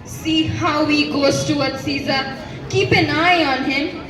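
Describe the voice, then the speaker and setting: A teenage girl with an Indian accent playing a steady, watchful Roman conspirator in a school stage play. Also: high-pitched, slow pace, a crowd in the background. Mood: calm, watchful. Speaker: student actor. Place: school stage, distant microphone. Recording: echoey, distant stage with clear noise.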